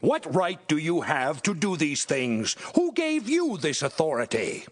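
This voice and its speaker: A suspicious male chief priest in a scripture drama, always challenging. The voice is husky and swings very widely in pitch. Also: big pitch swings, a little slow. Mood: indignant.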